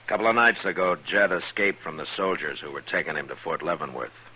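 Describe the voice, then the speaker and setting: Deep, forceful Western marshal. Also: male voice. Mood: sternly reasoning. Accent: American. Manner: measured, authoritative, laconic. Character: steady, firm frontier town marshal. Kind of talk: 1950s western radio drama acting. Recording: noisy vintage radio recording.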